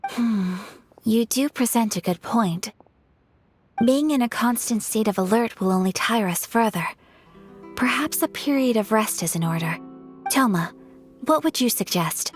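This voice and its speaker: An actress voicing a refined young noblewoman in a video game, composed and courteous, with a soft, formal way of speaking. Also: fairly high pitch, lively intonation, measured pace. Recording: game audio with soft music behind.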